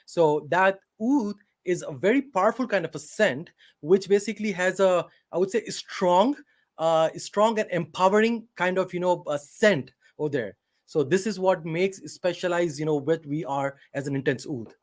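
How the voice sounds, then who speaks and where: Guy from pakistan on a video call, bit high voice, lots of uh and you know. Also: moderate pace. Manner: hesitant, many fillers and restarts. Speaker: company CEO, guest. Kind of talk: interview answers.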